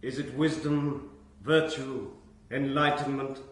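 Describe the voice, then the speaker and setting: An actor playing the solemn examiner of a secret brotherhood in a radio drama, questioning formally. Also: male voice, medium pitch, steady tone, takes it slowly, lightly acted. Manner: formal, interrogating. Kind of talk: British radio drama acting. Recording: echoey ceremonial room.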